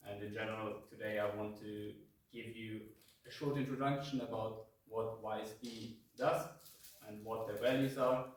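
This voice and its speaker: A young man with a low, flat voice gives a halting presentation, full of ums. He sounds distant in an echoey room, with faint noise behind. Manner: hesitant, many fillers. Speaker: youth peace group presenter. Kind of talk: informal presentation.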